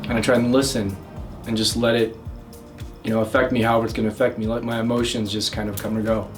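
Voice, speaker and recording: A man working as a love and communication coach, giving loud, energetic advice straight to camera, with plenty of fillers and restarts. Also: fairly low pitch, quick pace, distant mic, over music. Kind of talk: direct-to-camera advice talk.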